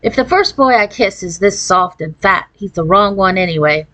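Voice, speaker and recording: Woman voicing a brisk, sardonic ten-year-old girl. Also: mid-range voice, lively intonation, sharp tone, low-quality recording. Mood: dry, decisive. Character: Kate, brisk, sardonic fifth-grade girl, movie buff.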